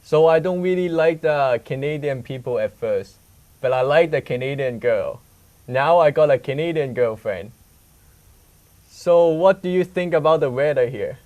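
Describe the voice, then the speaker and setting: A man's voice: on the high side, moderate pace, a little mellow. A young man from Hong Kong, an English speaker, telling candid stories as a student reporter in a chatty news segment. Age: young adult. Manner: simple non-native English, candid storytelling.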